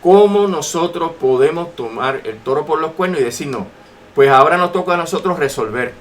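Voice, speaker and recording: A man's voice: a little high, lively intonation, a little fast. A man with a Puerto Rican accent giving emphatic political commentary as a guest on talk radio, repeating phrases for weight. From Puerto Rico. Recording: noisy AM radio talk recording.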